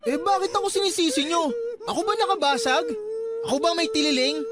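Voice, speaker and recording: A resentful teenage brother whines back at his parents in a radio drama. His voice is pushed very high and sounds defensive. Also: fairly quick. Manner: whiny, defensive.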